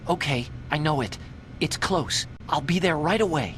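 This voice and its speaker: An actor playing a nervous, eager young scientist sidekick in a video game, boyish and easily flustered. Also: male voice, on the high side, animated, moderate pace, noisy background, close to an everyday voice. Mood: calm, helpful.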